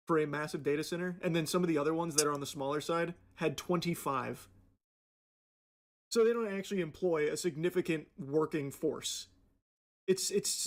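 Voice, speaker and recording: A man giving casual, opinionated commentary in a sarcastic, scornful way with some swearing. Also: fairly high pitch.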